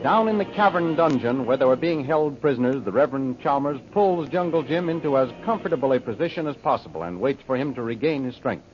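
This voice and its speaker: A man's voice: mid-range voice, animated, a little fast, few pauses, a little hiss or hum. A man narrating an old-time radio serial in a formal, dramatic announcer's delivery. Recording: dull old radio recording with music.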